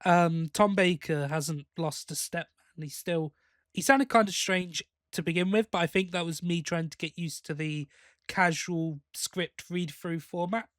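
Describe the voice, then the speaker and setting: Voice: medium pitch, measured pace, dry recording, studio quality. A podcast host in casual review chat, opinionated and emphatic in complaint.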